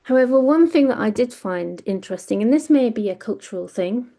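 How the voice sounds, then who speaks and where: British woman with a gravelly voice. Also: fairly high pitch, lively intonation, fairly quick, mellow. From Britain. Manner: hesitant, frequent ums and self-corrections. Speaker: webinar panelist. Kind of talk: presenting survey results.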